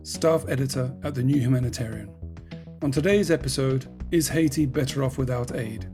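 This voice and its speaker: A man hosting a podcast, reading scripted narration in a measured way and putting questions to a guest. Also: on the high side. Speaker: podcast host and editor.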